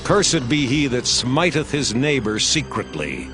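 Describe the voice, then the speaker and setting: A stern priest calls out pronouncements with a broad, expressive pitch. A clear music bed runs beneath his voice in this dramatized scripture production. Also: a little slow. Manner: stern, proclaiming. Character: Levite priest crying out curses to a crowd.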